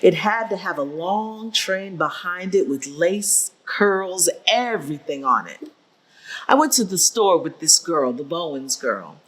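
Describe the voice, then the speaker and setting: A woman's voice: medium pitch, fairly bright tone. An actress playing a proud, determined older seamstress, telling her story plainly and emphatically in a staged reading. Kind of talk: staged reading of oral history.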